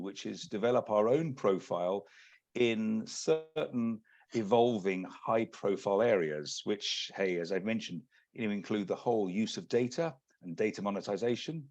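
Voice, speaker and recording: British man with a gravelly, low voice. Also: darkish tone. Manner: measured, businesslike, many restarts. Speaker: executive search partner. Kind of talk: webinar presentation. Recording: lo-fi video call audio.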